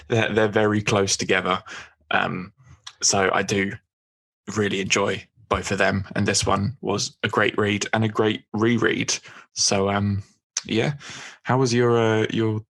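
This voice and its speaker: A man co-hosting a podcast, chatting in a relaxed way with small restarts. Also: fairly low pitch, moderate pace, gravelly. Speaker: podcast co-host. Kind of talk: casual podcast chat.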